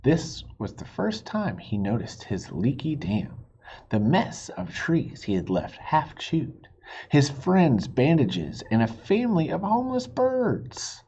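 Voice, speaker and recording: A man reads a children's story aloud with big swings in pitch and an unhurried pace. He speaks simply to young listeners and puts questions to them. The recording is a little dull. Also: wildly swooping pitch, warm tone, slightly rough. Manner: asks the listeners questions, explains words simply. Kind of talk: expressive read-aloud with asides to young listeners.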